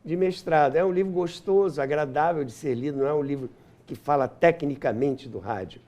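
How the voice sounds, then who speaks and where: A man's voice: on the high side, a little fast, darkish tone. A man, telling anecdotes as an interview guest in an enthusiastic, nostalgic way. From Brazil. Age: 70s. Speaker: interview guest, radio historian and author. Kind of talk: animated anecdotal storytelling in an interview.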